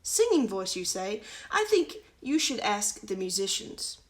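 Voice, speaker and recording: A woman's voice: mid-range voice, big pitch swings, a little slow. A woman voicing a polite, helpful little girl from a children's storybook.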